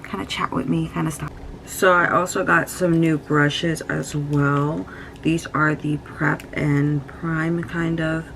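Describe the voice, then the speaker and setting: A woman's voice: low voice, slight background noise, rough recording. A woman in her twenties talking casually and candidly while doing her makeup, swearing freely and using lots of fillers. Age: 20s. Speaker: beauty vlogger. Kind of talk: casual get-ready-with-me chat.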